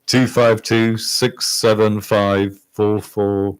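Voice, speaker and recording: Man, low voice, halting and hesitant, radio studio guest. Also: flat delivery, clear, resonant tone. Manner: hesitant, many restarts and fillers. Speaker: insurance agent guest. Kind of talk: casual radio chat.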